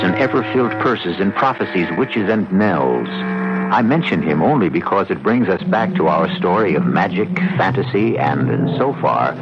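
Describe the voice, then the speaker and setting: A male mystery host with a deep, creaky voice and an unhurried, ominous delivery. He narrates theatrically over loud music on a muffled old radio recording. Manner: ominous, literate, deliberate storyteller. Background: loud dramatic music.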